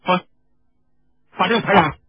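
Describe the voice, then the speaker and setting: A man's voice: high voice, moderate pace, slightly rough, pitched above the performer's own voice. A man with a Cantonese accent playing a proud, stern rebel leader with a grand, declamatory manner in an audio story. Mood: scornful, commanding. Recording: dull lo-fi radio recording.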